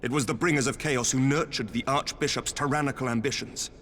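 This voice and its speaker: An actor playing a stern noble leader in a video game, speaking in an archaic, courtly, oratorical way. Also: male voice, mid-range voice, fairly quick, fairly bright tone, close to an everyday voice. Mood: stern resolve. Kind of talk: video game cutscene acting. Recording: long hall reverb, faint background noise.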